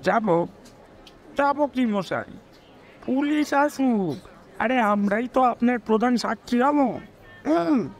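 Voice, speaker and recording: A man's voice: very high voice, expressive, slow pace, pauses often, exaggerated voice. A man playing a nosy, indignant neighbour in a crowd in a Bengali audio story, mocking and drawling.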